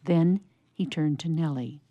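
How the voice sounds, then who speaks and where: A woman narrating a scripted story for radio theatre in a measured storytelling manner. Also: low voice, dry recording, dull audio.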